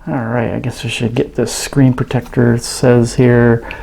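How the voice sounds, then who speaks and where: A man giving a casual product review in a halting, understated way. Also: medium pitch, flat delivery, gravelly, warm tone, breathy edge. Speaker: radio reviewer. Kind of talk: casual unboxing review narration.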